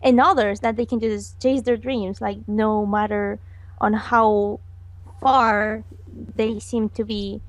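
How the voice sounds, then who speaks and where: A woman's voice: mid-range voice, steady tone, takes it slowly, dry recording. A woman animator answering interview questions in an earnest way, giggling often and trailing off. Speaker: animator guest.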